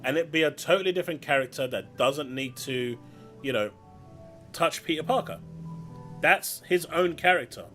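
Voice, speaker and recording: A man's voice: on the high side, normal speed, a bit bright. A man with a London accent chatting casually and opinionatedly while streaming a video game. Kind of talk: casual chat while gaming. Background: game music.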